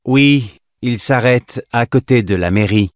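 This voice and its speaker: Slow-reading man, dry recording. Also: mid-range voice, no room sound. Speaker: voice reader for listening test. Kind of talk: scripted dialogue reading.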